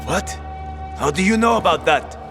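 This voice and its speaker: A man's voice: sits high, animated, moderate pace. A male actor playing a bitter, cornered betrayer in a dramatic video game, world-weary and defensive as he justifies himself. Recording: game music behind the voice.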